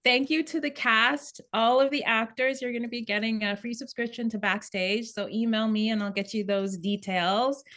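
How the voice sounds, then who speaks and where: A woman's voice: on the high side, fairly even intonation, normal speed, hardly any pauses, fairly bright tone. A woman hosting an informal script table read, addressing the group conversationally.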